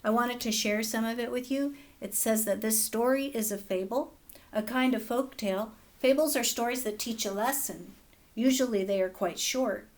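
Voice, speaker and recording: A woman teacher reading a children's fable aloud in a gentle, expressive storybook manner. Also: on the high side, normal speed. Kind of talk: children's read-aloud narration.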